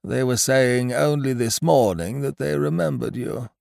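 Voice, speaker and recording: A man voicing a despondent male professor who speaks slowly and mournfully. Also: medium pitch, gravelly, darkish tone, no echo, studio quality. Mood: gloomy. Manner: slow, groaning, despondent.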